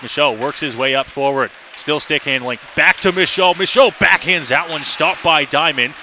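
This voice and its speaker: Male play-by-play announcer, ice hockey radio commentary, higher-pitched voice, clipped phrases, noisy rink behind. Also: normal speed. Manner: running description in clipped phrases. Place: ice rink, live broadcast position. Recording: noisy live arena broadcast.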